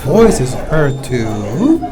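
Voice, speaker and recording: A man's voice: medium pitch, sing-song, drawn-out words, a crowd in the background. A man hosting a casual live radio interview, often interjecting and echoing what he hears. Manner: interjects and echoes the guest's words. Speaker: radio host. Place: live radio broadcast at a market pop-up event. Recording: loud music behind. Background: loud music.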